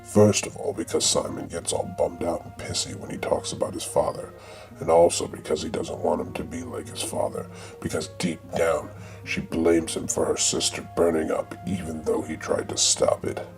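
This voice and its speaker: Very deep, gravelly man narrating. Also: flat delivery, moderate pace, faint music behind. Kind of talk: scripted comic narration of an audio drama.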